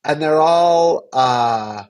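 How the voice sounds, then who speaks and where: A man's voice: fairly high pitch, drawn-out words, darkish tone. A man hosting a casual livestream chat in a playful, teasing way. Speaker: livestream host.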